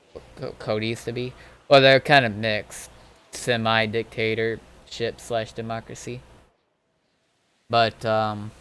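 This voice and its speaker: A man giving a casual, rambling gaming commentary in a halting way, often trailing off. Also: mid-range voice, clear, resonant tone. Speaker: gaming video host. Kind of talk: casual rambling gameplay commentary recapping roleplay events.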